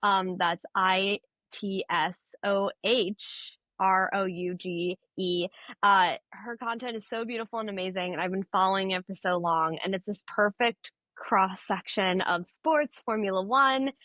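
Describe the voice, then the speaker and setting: A woman's voice: medium pitch, measured pace, sharp tone, dry recording. A young woman hosting a podcast interview, gushing and enthusiastic as she introduces and questions her guest. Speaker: podcast host.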